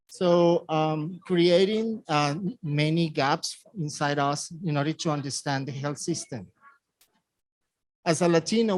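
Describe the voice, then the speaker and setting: A man from Venezuela with a Venezuelan Spanish accent gives a conference presentation in halting, simply phrased second-language English. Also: fairly high pitch, no room sound, low-quality recording. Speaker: community presenter.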